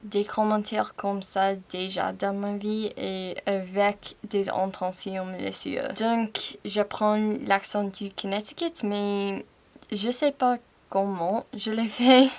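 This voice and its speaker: A young American woman whose first language is English speaks French in a slow, deliberate way. She takes her time and breaks off now and then to fix a word. It is a relaxed, candid home video recorded on a camera mic. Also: medium pitch. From United States. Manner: slow, careful, hesitant with self-corrections. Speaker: language-learning YouTuber. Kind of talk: candid personal vlog in learner French.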